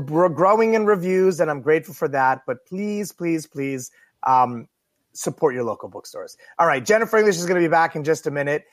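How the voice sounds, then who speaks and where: A man's voice: on the high side, no echo. A man hosting a radio talk show, chatting casually and earnestly to listeners in a rambling, unscripted way that repeats phrases for emphasis.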